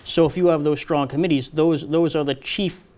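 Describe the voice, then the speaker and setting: A man, a political candidate answering interview questions in a loud, brisk, no-nonsense way. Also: fairly high pitch, steady tone.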